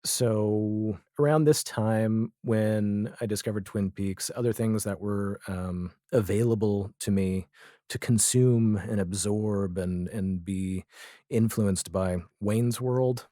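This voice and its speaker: A low, mellow male voice in his forties, recorded very dry. He chats as an interview guest, reflective and wry, in a casual conversational style. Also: steady tone, slow pace, dry recording. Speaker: interview guest, comedy and audio drama writer.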